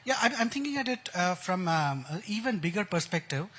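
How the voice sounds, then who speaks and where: High-pitched Indian man explaining keenly. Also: lively intonation, fairly quick, fairly bright tone. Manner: enthusiastic, uses analogies and technical jargon. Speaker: expert guest, educator. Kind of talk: explaining at a conference interview. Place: conference stage.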